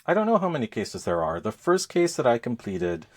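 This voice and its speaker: A man hosting a podcast, giving a casual game review in a halting, conversational way with pauses mid-phrase. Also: mid-range voice, wildly swooping pitch. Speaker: podcast host reviewing games.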